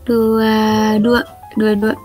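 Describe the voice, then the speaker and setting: Soft-spoken teenage girl, flat and slow. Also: on the high side, faint music behind. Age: teens. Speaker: video chat stranger. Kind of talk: shy casual video-call chat. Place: small room at night. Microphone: webcam video call.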